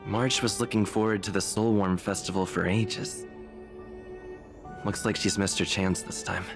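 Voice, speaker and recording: Reserved, low-voiced young man. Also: fairly even intonation, measured pace. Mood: subdued. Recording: game music behind the voice.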